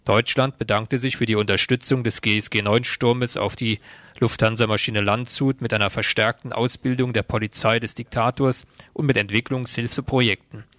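A man's voice: medium pitch, fairly even intonation, moderate pace, fairly bright tone, no echo. Man reading a dry-recorded radio report. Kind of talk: scripted report narration.

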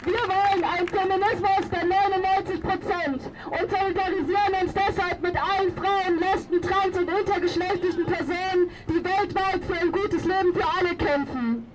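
Woman reading out a political speech at a demo, super high voice, declamatory, far from mic. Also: steady tone, normal speed, hardly any pauses. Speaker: demonstration speaker, feminist activist. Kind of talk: prepared political rally speech. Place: outdoor demonstration. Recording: distant microphone at outdoor rally.

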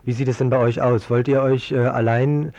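A man's voice: medium pitch, fairly even intonation, moderate pace, dark tone. A man hosting a local radio interview, asking questions in a hesitant, searching way. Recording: muffled, dull lo-fi radio recording.

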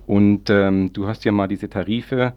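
A man's voice: lowish voice, steady tone, measured pace, dry recording, muffled recording. A man hosting an informal radio magazine from a studio, phrasing things loosely and correcting himself as he goes. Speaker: radio host. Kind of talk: informal magazine show introduction and interview. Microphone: studio microphone.

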